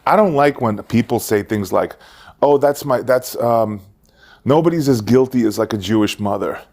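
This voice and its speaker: Gravelly man in his forties. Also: medium pitch, normal speed, a little mellow. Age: 40s. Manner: rambling, jokey, trails off mid-sentence. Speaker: podcast co-host. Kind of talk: casual chat.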